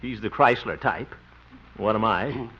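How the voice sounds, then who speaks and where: An actor in an old radio comedy playing an insecure, exasperated young amateur play director with an American accent. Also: male voice, on the low side, a little slow, slightly rough. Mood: dejected. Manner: self-pitying asides, exasperated outbursts. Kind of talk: 1940s-50s radio comedy acting. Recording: dull, noisy old-time radio recording.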